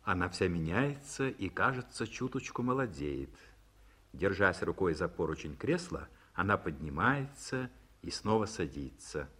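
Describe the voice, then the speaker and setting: Casting: male narrator, low husky voice, lively intonation, vintage radio-play stage directions. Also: measured pace.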